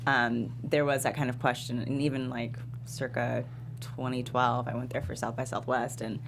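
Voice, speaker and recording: Very low-voiced woman chatting casually. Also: little pitch movement, normal speed. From Texas, United States. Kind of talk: casual anecdotal chat. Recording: clear hum and background noise.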